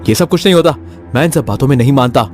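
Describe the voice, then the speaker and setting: A man's voice: mid-range voice, rapid-fire, voice pushed up, close to an everyday voice. A man voicing an anxious, insistent young college student in a horror cartoon, agitated and demanding. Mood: agitated disbelief. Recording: music behind the voice.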